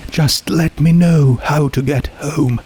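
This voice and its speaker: A man's voice: on the high side, steady tone, slow pace, dark tone, voice pushed up. A man voicing a desperate, tearful teenage girl who pleads emotionally in a dramatic story reading. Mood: tearful pleading.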